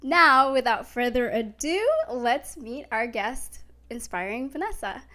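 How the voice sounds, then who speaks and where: A woman's voice: fairly high pitch, unhurried, pushed and bright. A woman hosting a casual interview, talking in an effusive, gushingly enthusiastic way. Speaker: talk show host.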